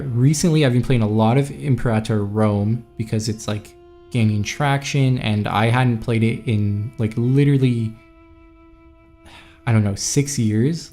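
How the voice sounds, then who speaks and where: A man's voice: medium pitch, dark tone. A man from Montreal chatting loosely with viewers on a live stream, rambling and reading out chat. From Montreal, Canada. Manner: rambling, scattered, reads chat aloud.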